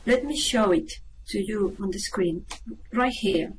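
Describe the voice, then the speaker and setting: A woman's voice: mid-range voice, unhurried, a little hiss or hum, lightly acted. A woman playing a level-headed, dryly unimpressed space traveler in a radio play, matter-of-fact in manner. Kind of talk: amateur radio play acting.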